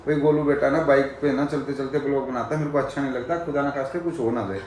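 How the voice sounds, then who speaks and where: A man vlogging in casual chat with a friend, talking in an animated, emphatic way. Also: medium pitch, quick pace, reverberant, slight background noise, low-quality recording. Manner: animated, emphatic, talks over others.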